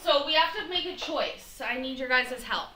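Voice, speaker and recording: Bright-voiced chatty woman in an echoey kitchen. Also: sits high, expressive, normal speed. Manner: chatty and rambling, many fillers, talks to viewers by name. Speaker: livestream cooking show host. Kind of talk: casual livestream chat while cooking. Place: home kitchen. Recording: echoey kitchen, faint noise.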